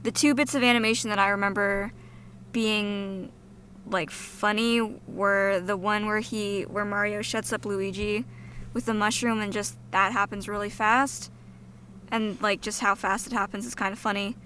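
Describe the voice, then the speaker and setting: Blunt young woman, medium pitch. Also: level tone, normal speed, pushed and bright, slight background noise. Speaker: podcast co-host. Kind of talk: casual film discussion.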